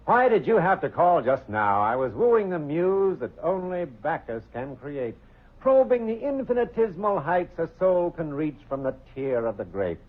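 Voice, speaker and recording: A man's voice: on the high side, big pitch swings, normal speed. An actor playing a pompous, theatrical male photographer who speaks in grand, flowery phrases, in 1940s radio style. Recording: tinny old radio broadcast.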